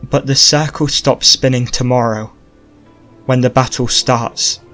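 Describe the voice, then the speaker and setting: A man's voice: medium pitch, steady tone, fairly bright tone, faint music behind, close to an everyday voice. A man reading as a grave sorceress Morgana. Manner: slow, measured reading. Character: grave female sorceress explaining a curse.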